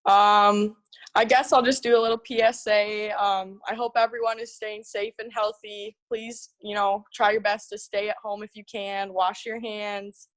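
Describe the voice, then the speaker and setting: A woman's voice: medium pitch, little pitch movement, a little slow, dry recording. A woman of about twenty with an American accent, chatting casually as a college athlete guest. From Minnesota, United States. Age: around 20. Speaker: guest, college tennis player. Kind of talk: casual chat.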